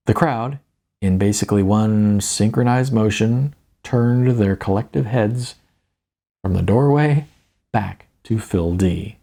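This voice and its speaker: A man reading his own fiction audiobook in a dramatic, theatrical style with deliberate, suspenseful pauses. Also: lowish voice, vocal fry, mellow. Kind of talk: dramatic scripted narration.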